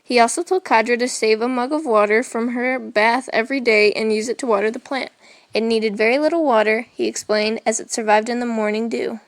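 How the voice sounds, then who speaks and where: A woman's voice: on the high side, a little fast, no room sound. A woman reading a children's story aloud as narration. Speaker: storybook reader.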